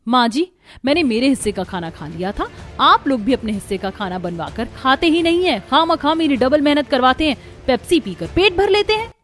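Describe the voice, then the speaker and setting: A woman's voice: sits high, sing-song, fairly quick, soft background music. A woman voicing an earnest, easily exasperated young new daughter-in-law in a cartoon story.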